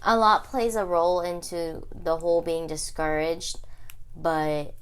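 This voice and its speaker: A woman's voice: fairly low pitch, fairly even intonation, unhurried, clean tone. A young woman chatting informally and teasingly as a podcast co-host. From United States, Texas. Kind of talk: casual chat.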